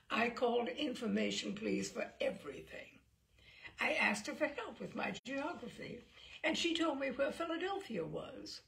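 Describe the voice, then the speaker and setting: A woman reading a story aloud as scripted narration, in an expressive, measured storytelling manner. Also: fairly high pitch, vocal fry. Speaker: story reader.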